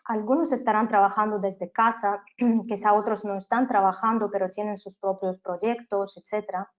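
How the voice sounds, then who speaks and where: A woman from Canada in her thirties leads an online class, speaking with a foreign accent as a non-native speaker. She talks in a measured way, pausing on fillers and restarting words. Her mid-pitched voice comes through dull, lo-fi webinar audio. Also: fairly even intonation. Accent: foreign accent in Spanish. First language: not Spanish. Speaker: webinar presenter. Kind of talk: online masterclass talk. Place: home office.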